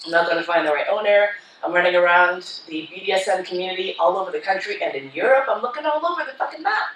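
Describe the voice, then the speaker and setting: A woman's voice: fairly low pitch, expressive, fast talker, fairly bright tone. A woman giving a keynote talk to a live audience, telling her story in a frank, profane and comic way. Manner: frank, profane and comic, acting out her own past lines. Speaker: keynote speaker. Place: conference hall. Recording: roomy hall, voice from far off.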